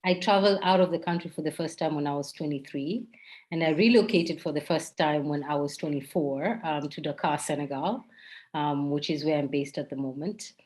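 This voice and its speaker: A woman's voice: deep voice, a little fast, a little mellow. A woman with a Kenyan accent speaking candidly as an interview guest, telling personal stories.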